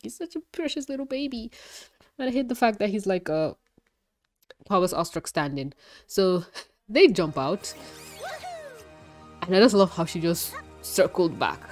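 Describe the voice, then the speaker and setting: A young adult woman reviewing a cartoon episode in casual commentary, gushing and excitable, swearing freely, sounding congested and nasal. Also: mid-range voice, a little slow, long pauses, faint music behind.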